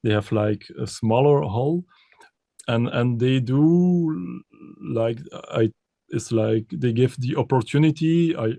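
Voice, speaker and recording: A man from Belgium, a composer and pianist, answering interview questions in halting with many restarts and repeated words. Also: mid-range voice, creaky, mellow, no room sound.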